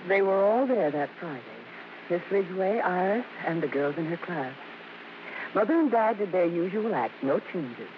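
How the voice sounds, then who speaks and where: Actress as reflective narrating daughter, old radio. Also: female voice, lowish voice, animated, moderate pace, natural voice. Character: wistful grown daughter narrating her family's past. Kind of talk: scripted radio drama narration. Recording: noisy, dull vintage radio recording.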